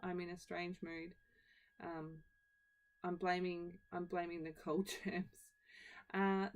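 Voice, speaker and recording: A croaky woman narrates her craft video from home, her voice hoarse with a cold. She talks in a low, gravelly register, chatty and a little rambling, poking fun at herself as she goes. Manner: chatty, self-deprecating, rambling asides. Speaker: scrapbooking channel host. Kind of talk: casual process-video voiceover.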